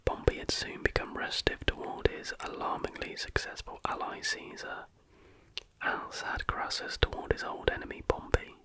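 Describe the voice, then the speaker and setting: Hushed, fully whispered ASMR reader. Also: moderate pace. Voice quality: unvoiced breathy whisper. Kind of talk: whispered ASMR reading of a history text.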